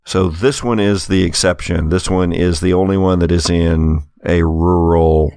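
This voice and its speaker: A low-pitched man with a creaky edge runs a tabletop roleplaying game. He takes his time, narrating in halting, thoughtful phrases at a slow pace. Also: steady tone, darkish tone, no echo, studio quality. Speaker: game master.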